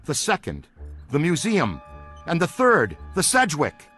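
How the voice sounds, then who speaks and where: A man voicing an earnest, excitable paranormal investigator in a video game cutscene, explaining things with dramatic seriousness. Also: on the high side, measured pace, slightly rough, soft background music, heavily acted.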